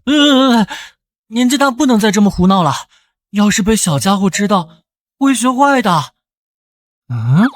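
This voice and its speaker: Theatrical, excitable young anime guy. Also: high voice, measured pace, pauses often. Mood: shocked. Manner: theatrical, exclamatory. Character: flustered, cheeky young man in an anime romance. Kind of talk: animated drama acting with inner monologue.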